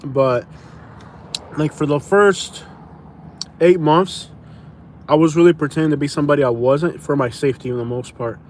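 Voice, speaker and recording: A man's voice: fairly high pitch, moderate pace, long pauses, slight background noise. A young man from Florida, casually answering viewer questions on a live stream, rambling and restarting his sentences, calling people "bro". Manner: rambling, repeats himself and restarts, says "bro".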